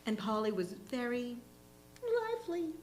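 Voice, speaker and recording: A woman's voice: on the high side, big pitch swings, a little slow, a little mellow. Woman reading fiction, faint background hum. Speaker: reader in a readers' theatre. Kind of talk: literary short story reading.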